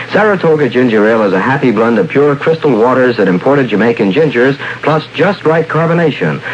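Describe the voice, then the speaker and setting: An American man reading a scripted 1960s radio commercial as a station announcer. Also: mid-range voice. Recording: dull, slightly noisy 1960s radio recording.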